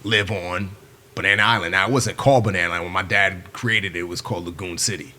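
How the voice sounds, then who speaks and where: Deep, bright-voiced man telling stories. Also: fast talker. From Nigeria. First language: Yoruba. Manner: animated storyteller who acts out quoted people. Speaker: podcast guest. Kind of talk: storytelling in a long-form interview.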